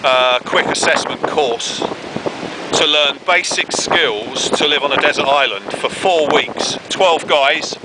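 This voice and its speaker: A man's voice: fairly high pitch, slow pace. A man vlogging outdoors while trekking a wet, windy trail, chatting casually and rambling with many fillers. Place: outdoors on a windy rainy trail, later in woods. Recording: loud wind buffeting the mic. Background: loud wind noise.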